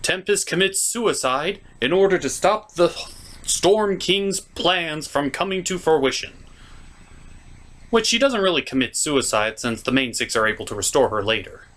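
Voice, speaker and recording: A man's voice: fairly high pitch, expressive, measured pace, fairly bright tone. A man delivering a scripted review as host, in a theatrical, emphatic way. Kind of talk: scripted review commentary with puppet-show skits.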